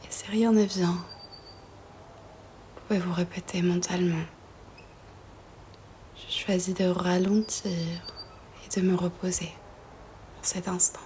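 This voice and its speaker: A woman guiding a yoga nidra meditation in a soft, breathy, half-whispered voice, soothing and gentle, with long pauses between phrases. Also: on the low side, level tone, slight background noise.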